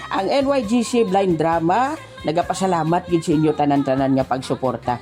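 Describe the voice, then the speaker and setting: A man's voice: on the high side, a little fast, mellow. Jokey Visayan man with music behind. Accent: Filipino, Western Visayan. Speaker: radio show co-host. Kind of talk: joking banter on a radio show.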